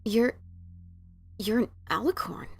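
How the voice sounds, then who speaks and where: Brash heroine pony for fantasy audio story , acted by a woman: skeptical, informal, very animated. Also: fairly high pitch, takes it slowly, husky, exaggerated voice. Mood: hesitant, curious. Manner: blunt, incredulous, informal. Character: brash, skeptical adventurer mare, adult female pony. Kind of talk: fan fiction dramatic reading.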